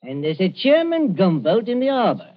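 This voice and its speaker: An actor playing a smug, menacing German agent who taunts and boasts in an old-time radio drama. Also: male voice, high voice, wildly swooping pitch, moderate pace, full character voice. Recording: muffled vintage radio recording.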